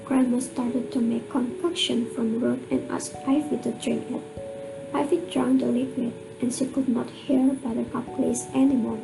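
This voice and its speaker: Woman narrating a radio play, bit of fry in the voice, clear music behind. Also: on the high side, little pitch movement, normal speed, rough recording. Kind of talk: scripted storytelling narration for an amateur radio drama.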